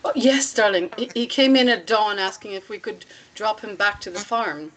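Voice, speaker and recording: Woman playing a calm persuasive lady who shelters runaways, deliberate talker, middle pitch, script read. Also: close to an everyday voice, poor audio. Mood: breezy, coaxing. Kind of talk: script table read, acted dialogue.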